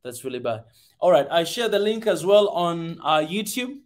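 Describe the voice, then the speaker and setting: A man with a Tanzanian English accent hosts a livestream and talks straight to his audience with energy, often stumbling over and repeating his words. His voice sits a little high for a man. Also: normal speed. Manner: energetic, addresses viewers directly, repeats words. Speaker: livestream host. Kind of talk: casual livestream talk.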